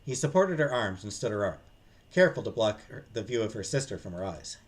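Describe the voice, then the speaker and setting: A man's voice: fairly low pitch, big pitch swings, a little fast. A man streaming a visual novel, reading the narration aloud in a dramatic storytelling style. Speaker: game streamer.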